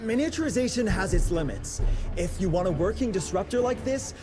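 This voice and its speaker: Jittery young genius inventor. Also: male voice, high-pitched, a little fast. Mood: nervous. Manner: jittery, rambling, stammering, excitable. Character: nervous young male genius engineer, rambling. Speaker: inventor character in a video game. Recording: game cutscene with loud music behind.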